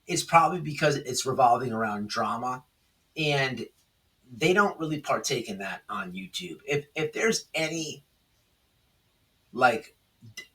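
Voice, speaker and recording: A man's voice: medium pitch, sharp tone, far from the mic, rough recording. A man giving casual, off-the-cuff drama commentary as a host, speaking emphatically, repeating himself and often checking in with the listener. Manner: emphatic, repetitive, checks in with "okay?" and "right?".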